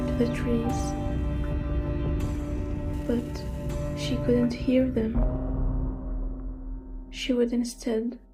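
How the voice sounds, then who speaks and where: A woman narrating a scripted tale as whispered ASMR, slowly, in short hushed phrases with pauses. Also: a little high. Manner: slow, hushed, pausing after short phrases. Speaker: storyteller.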